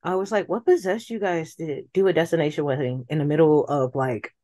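American woman on a podcast, kinda low voice, casual storytelling, acts out what people say. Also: brisk, warm tone. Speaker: podcast guest. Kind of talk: casual chat.

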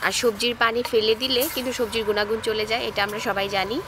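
A woman narrates a home cooking video, moving briskly from one step to the next with a calm, explanatory manner. Her voice sits a little high, and a steady noise runs under the recording. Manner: calm, explanatory, gives tips. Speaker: cooking channel host. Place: outdoors on grass, cooking on a stove.

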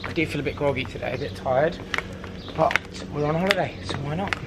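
A young man with a croaky voice chatting casually with his partner outdoors. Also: fairly high pitch, a little fast, very noisy. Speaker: vlogger's partner. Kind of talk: casual chat. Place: outdoors in a resort garden.

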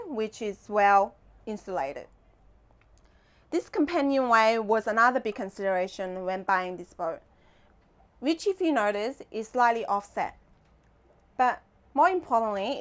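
Young female vlog host, fairly high voice, casual guided-tour delivery, recorded in a compact boat cabin. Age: young adult. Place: inside a small sailboat cabin.

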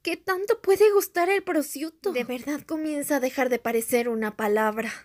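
A woman playing a timid, bewildered high school girl in a game fan dub, hesitant and frightened. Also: moderate pace, darkish tone, natural voice. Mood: uneasy, puzzled.

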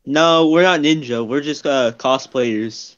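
A man voicing an excitable, blunt, goofy young ninja in a comedic cartoon fandub. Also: medium pitch, unhurried, lo-fi sound, lightly acted. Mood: casual.

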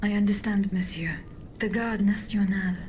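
A woman voicing a dutiful female automaton in a video game, speaking in a measured, formal, restrained way. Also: mid-range voice, fairly even intonation, a little mellow, a little hiss or hum, close to an everyday voice. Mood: calm, gently attentive. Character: female clockwork automaton, poised, dutiful servant of the Queen. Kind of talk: video game cutscene acting.

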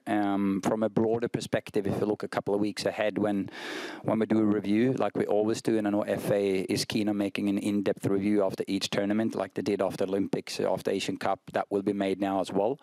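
A man in his forties with a Swedish accent, answering questions as head coach at a press conference, measured and restarting often. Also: on the low side, dark tone, no room sound, rough recording. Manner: measured, frequent restarts and ums. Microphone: desk mic on stand.

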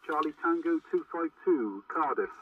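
A man's voice: a little high, animated, moderate pace. A man chatting in radio procedure as a CB operator, heard through a radio transmission. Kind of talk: formal radio-procedure chat. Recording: tinny, noisy, distant CB radio link.

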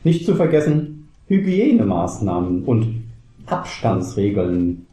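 A pedantic male teacher acted by a man in a comedy audio play. The voice is extremely dark and muted in tone, dry and deliberate, sounding in a roomy space. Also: lowish voice, big pitch swings, slight background noise. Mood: deadpan. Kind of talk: scripted cabaret audio play.

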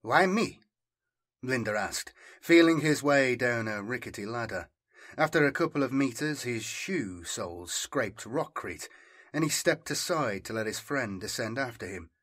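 A man's voice: mid-range voice, animated, moderate pace, a bit bright. A man reading scripted dramatic fiction as an audiobook narrator.

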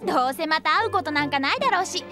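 A breezy, carefree young female mercenary, flippant and playful. The voice is extremely high and lively in pitch, with music clearly behind it in an audio drama. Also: very fast. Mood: breezy, teasing. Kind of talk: Japanese audio drama acting.

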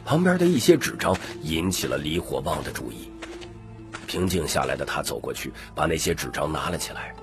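Man, deep register, dramatic story narration, lively pitch movement, faint background music. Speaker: audiobook narrator.

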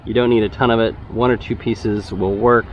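Relaxed young man explaining carp fishing. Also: on the low side, brisk. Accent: Texan. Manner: relaxed, explanatory, gets excited when a fish bites. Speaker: fishing channel host. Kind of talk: casual fishing vlog narration. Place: outdoors by a concrete-lined urban bayou. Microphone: head-mounted action camera. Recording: dull outdoor camera audio, faint traffic noise.

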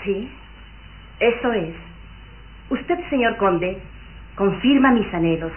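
An actress playing a proud, lovestruck young daughter, earnest and indignant, in a radio drama. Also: female voice, medium pitch, animated, takes it slowly. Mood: hopeful, earnest. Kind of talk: Mexican radio drama acting. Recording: muffled, faintly noisy old radio recording.

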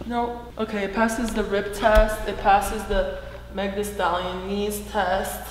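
A woman in her thirties chatting brashly and playfully through a try-on haul, filmed by a far camera in a large, bright, empty house. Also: medium pitch, little pitch movement, slow pace. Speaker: fashion vlogger. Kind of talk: casual try-on haul chat. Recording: echoey bare room with noise.

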